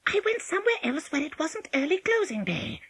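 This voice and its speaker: A woman voicing an irritable male storybook wolf who fakes a high, sweet motherly voice. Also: huge pitch swings, a bit bright, no echo, studio quality, heavily acted. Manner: hurried, irritable.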